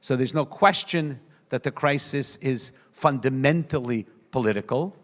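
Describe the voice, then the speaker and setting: A man from the United States with a New York accent speaks as a rabbi on a panel. He talks earnestly and in measured phrases, pausing and restarting often, with a mid-range voice. The sound carries a long reverberant tail. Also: medium pitch. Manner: earnest, measured, with many hesitations. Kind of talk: conference speech.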